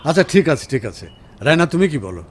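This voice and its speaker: A man's voice: fairly high pitch, sing-song, fast talker, a little hiss or hum. A male actor playing a considerate, practical husband in a radio drama. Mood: polite, practical. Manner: courteous, practical. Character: considerate, businesslike husband, polite and caring. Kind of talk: Bengali radio drama acting.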